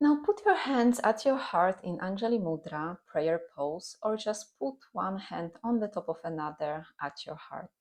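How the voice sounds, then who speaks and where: A woman teaching guided meditation and gentle yoga in a calm, soothing, instructional manner. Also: on the low side, moderate pace, few pauses.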